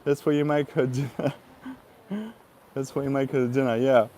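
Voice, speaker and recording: An easygoing man with an Eastern European accent talks to his live viewers in a loose, rambling way, laughing often and filling his pauses with "uh" and "um." His voice sits at an ordinary middle pitch for a man, with faint outdoor hiss behind it. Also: fairly even intonation, mellow. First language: Russian. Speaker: vlogger. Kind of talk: casual live-stream chat.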